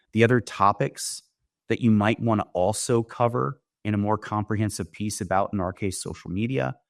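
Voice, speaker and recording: A man speaking as a company founder and guest, explaining his product in a conversational sales-pitch style and often checking in with "right?". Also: creaky, no echo, clean studio sound. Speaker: company founder demonstrating software, interview guest.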